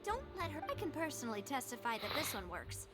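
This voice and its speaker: A woman's voice: sits high, sing-song, fast. A woman playing a spirited, confident young female boss in a video game, speaking in a playful, polite, theatrical manner. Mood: playful, mischievous. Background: game music.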